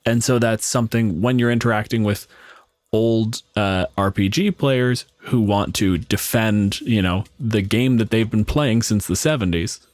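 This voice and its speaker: Guy on a podcast panel, lowish voice, really dry audio, frank and explainy. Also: a little slow, darkish tone, no room sound. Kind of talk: casual panel discussion.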